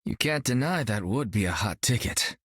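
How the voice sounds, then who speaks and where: A cocky young martial artist. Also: male voice, on the low side, animated, normal speed, a bit bright, husky, no room sound, clean studio sound, full character voice. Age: young adult. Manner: cocky, joking. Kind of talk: video game voice acting.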